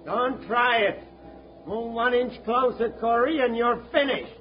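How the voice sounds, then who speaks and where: A defiant villain from an old radio space serial. His strikingly high voice is full of threat and taunting, on a muffled, noisy vintage recording. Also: unhurried. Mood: menacing. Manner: menacing, taunting. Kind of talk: 1950s radio adventure serial acting.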